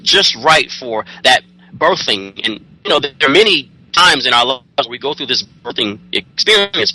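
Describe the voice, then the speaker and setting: A man's voice: mid-range voice, sharp tone, no echo, rough recording. A man hosting an interview, affirming his guest and sharing his own reflections, addressing him as "Brother". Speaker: interview host. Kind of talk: warm interview conversation.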